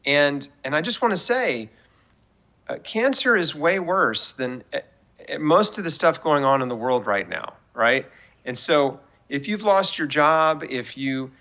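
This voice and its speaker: Bright-voiced man, dull lo-fi audio. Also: fairly high pitch, lively intonation, a little slow. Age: 40s. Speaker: guest author and cancer survivor. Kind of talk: earnest TV interview talk with faith encouragement.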